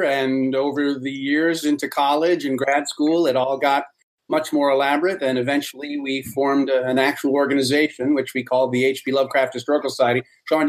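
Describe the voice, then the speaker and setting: A man's voice: mid-range voice, low-quality recording. A middle-aged man reminiscing casually as an interview guest.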